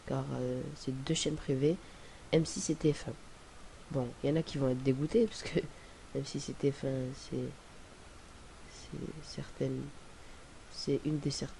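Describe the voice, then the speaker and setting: A young man with a cold, talking through a casual screen-recorded software tutorial in a rambling, hesitant way. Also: medium pitch, unhurried, vocal fry, rough recording. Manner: rambling, hesitant, many fillers and restarts. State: has a cold. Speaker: tutorial presenter. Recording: faint hiss on home recording.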